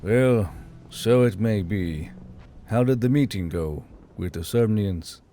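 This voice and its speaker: A man's voice: fairly low pitch, slow pace, voice pushed down. A man voicing a curious, concerned soldier in a fantasy audiobook, earnest and questioning. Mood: surprised, curious. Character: curious, concerned male soldier, loyal comrade of the commander. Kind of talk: audiobook character voice. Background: soft background music.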